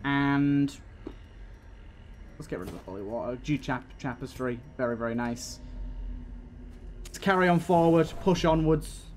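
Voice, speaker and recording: A man's voice: medium pitch, unhurried, long pauses, darkish tone, slight background noise. A man hosting a casual let's play, talking to the game's characters and repeating his phrases as he goes. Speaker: gaming host.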